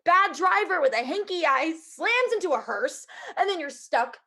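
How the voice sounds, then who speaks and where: A woman's voice: very high-pitched, expressive, normal speed, bright, forceful tone, heavily acted. A woman playing an exasperated, superstitious executive assistant in a comedy table read, emphatic, pleading and sardonic. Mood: alarmed. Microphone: video call.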